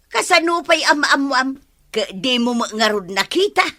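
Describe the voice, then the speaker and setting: A woman voices a curious village neighbor in a radio comedy. Her voice is bright and a little high, and she keeps pressing with questions. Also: very animated, normal speed, full character voice. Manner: inquisitive, questioning. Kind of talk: radio comedy drama acting.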